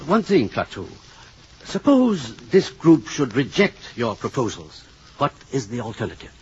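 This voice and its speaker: An actor playing an eager, courteous scientist in an old radio drama, with formal, hesitant, deliberate phrasing. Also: male voice, medium pitch, wildly swooping pitch, husky, exaggerated voice. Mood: cautious. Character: eager, curious professor, courteous senior scientist. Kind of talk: 1950s radio drama acting. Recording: muffled old radio recording, faint noise.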